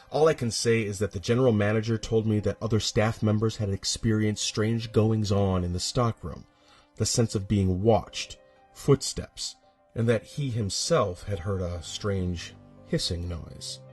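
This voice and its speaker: A man's voice: fairly low pitch, slightly rough. A man narrating a horror story in the first person, with a dramatic, suspenseful delivery. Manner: measured, suspenseful delivery with hushed drops and intense swells.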